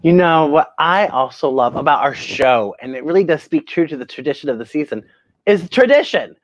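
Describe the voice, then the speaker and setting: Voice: mid-range voice, expressive, a little fast, no room sound, highs cut off. A children's show host talking to young viewers in a theatrical, emphatic storytelling way, drawing out words.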